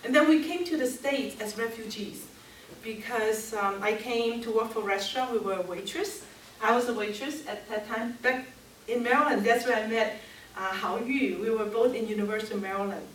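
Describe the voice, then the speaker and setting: A woman's voice: on the high side, normal speed, slight background noise. A woman in her fifties from Hong Kong, with a Cantonese accent, giving a workshop talk and engaging her audience with questions. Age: 50s. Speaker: workshop speaker. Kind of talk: live seminar talk. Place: seminar room. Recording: echoey room, mic at a distance.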